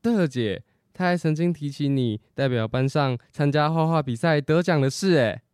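A man's voice: fairly high pitch, moderate pace, a little mellow, clean tone, no room sound, clean studio sound, natural voice. A teenage boy acting a cheeky, unrepentant grown-up younger brother in a radio drama. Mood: casual, teasing. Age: teens.

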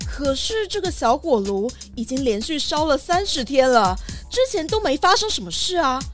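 A woman's voice: high-pitched, big pitch swings, moderate pace, faint music behind. A woman voicing an earnest, worried small-town mayor in a children's radio drama. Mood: anxious.

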